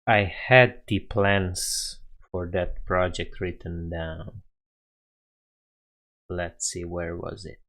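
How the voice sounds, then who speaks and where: Slow, deep-voiced Romanian-accented man. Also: steady tone, fairly bright tone. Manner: chatty, laughs often, thinks aloud with fillers. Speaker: live-streaming coding host. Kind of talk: casual live stream chat reading viewer comments.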